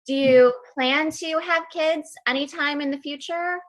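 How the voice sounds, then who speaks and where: A woman's voice: high-pitched, fairly even intonation, measured pace, low-quality recording. A woman co-hosting a dating show, talking in a gushing, enthusiastic way over a video call.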